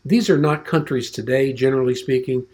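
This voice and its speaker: A man's voice: mid-range voice, a little fast, a little mellow. Man, Bible study teacher, rambling, conversational, many fillers. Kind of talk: informal Bible class teaching.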